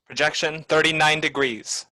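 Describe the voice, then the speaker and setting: A woman's voice: sits low, fairly even intonation, moderate pace, pushed and bright, poor audio. A woman playing a stern artillery officer in a radio play, calling out clipped, firm commands. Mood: commanding. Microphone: video call.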